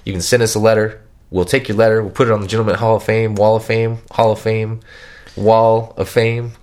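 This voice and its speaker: A man's voice: fairly low pitch, level tone, fairly quick, darkish tone. A man co-hosting a podcast in casual chat, with a nasal voice and stuffy from a cold, rambling and joking. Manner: rambling, joking, stumbling restarts. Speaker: podcast co-host.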